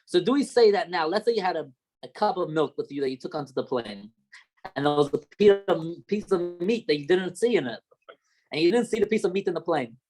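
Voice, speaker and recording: A man's voice: sits high, lively intonation, brisk, darkish tone, poor audio. A young man with a light Australian accent, a rabbi teaching an informal class and explaining step by step with questions.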